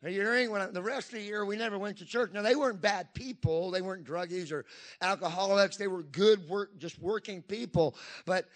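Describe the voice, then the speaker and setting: A man's voice: sits high, a little fast. A man in his fifties preaching a Pentecostal sermon, emphatic, with frequent call-and-response asides to the congregation. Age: 50s.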